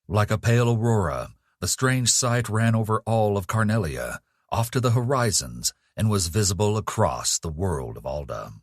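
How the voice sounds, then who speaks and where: A man with a husky voice reading a dark fantasy horror audiobook in a solemn, dramatic, measured storytelling manner. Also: a bass voice, dry recording, studio quality. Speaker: author narrating own audiobook.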